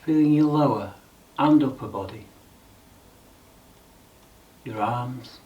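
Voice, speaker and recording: A man leading a guided meditation in a calm, measured, instructional way with frequent pauses. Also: medium pitch, distant mic, phone-quality sound.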